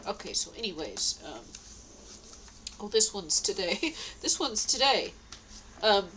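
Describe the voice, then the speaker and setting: A woman's voice: mid-range voice, fairly bright tone, slight background noise, low-quality recording. A woman with a stuffy nose, talking at home in a rambling, meandering way, full of fillers and restarts. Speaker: vlogger. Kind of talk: rambling personal diary talk. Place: at home indoors.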